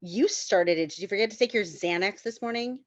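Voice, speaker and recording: A woman's voice: mid-range voice, brisk, dry recording, muffled recording, close to an everyday voice. A woman voicing a snotty, cocky thirteen-year-old rich girl, bratty and dismissive, at a comedy table read. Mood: snotty, combative.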